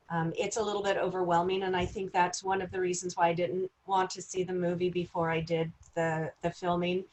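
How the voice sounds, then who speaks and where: A woman answering as an actress on a panel, unscripted, in a reflective and halting way. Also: lowish voice, a little hiss or hum, low-quality recording.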